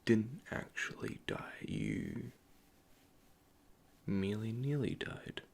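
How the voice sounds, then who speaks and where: A man acts out a nervous, caring android technician for an ASMR roleplay. His low voice is heavily creaky and often drops to a whisper. He speaks slowly and hesitantly, stumbling over words as he apologizes and reassures. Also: wildly swooping pitch, heavily acted. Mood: gentle explaining. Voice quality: soft, breathy, half-whispered. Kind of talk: ASMR roleplay, improvised soft speech.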